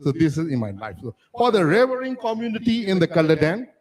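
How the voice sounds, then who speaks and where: Halting Arakanese-speaking man from Myanmar. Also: sits high, sing-song, darkish tone. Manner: halting, restarts sentences, non-native phrasing. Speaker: panelist. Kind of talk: personal story at a panel discussion.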